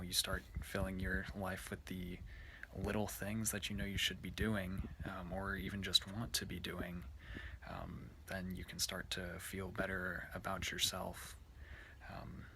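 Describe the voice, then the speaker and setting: A man giving an informal, earnest personal reflection, speaking in a halting way with a low, creaky voice. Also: pushed and bright, slight background noise. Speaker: speaker at an online worship service.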